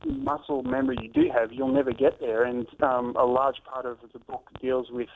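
A man's voice: mid-range voice, lively intonation, normal speed, gravelly. A man in his thirties, a fitness author and trainer, talking earnestly in a phone-in interview with many ums and restarts. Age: 30s. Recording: tinny phone line.

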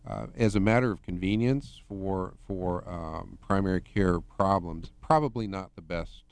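A middle-aged man speaking as a healthcare administrator in a radio interview, measured and hesitant with frequent restarts. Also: deep voice, creaky, a little mellow, clean tone, no echo.

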